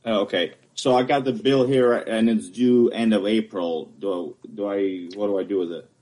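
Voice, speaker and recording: Ukrainian-accented man, non-native English, lowish, halting; homeowner at an appeal hearing. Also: a little mellow. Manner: halting, searching for words. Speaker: homeowner appealing a property assessment. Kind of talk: explaining at a hearing.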